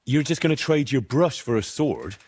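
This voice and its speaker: A husky young man, a loyal medieval game hero with a blunt, sardonic way of questioning. His pitch moves a lot, and the recording is dry and close. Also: very animated, normal speed, a little mellow, no room sound. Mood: skeptical, sardonic. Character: blunt, loyal young medieval adventurer, exasperated but principled. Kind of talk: video game dialogue acting.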